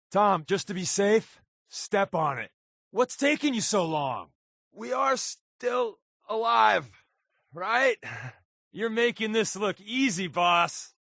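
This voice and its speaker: A man's voice: high-pitched, a bit bright, no room sound, clean studio sound, heavily acted. A man playing a wry, wisecracking undercover agent in a video game, with a casual, sardonic delivery. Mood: urgent, tense. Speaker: voice actor playing a game companion. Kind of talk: video game character dialogue.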